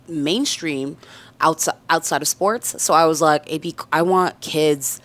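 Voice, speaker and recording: A woman's voice: low-pitched, a little slow, a bit bright. A young woman from Canada, a singer whose first language is French, chatting casually in an interview and laughing easily.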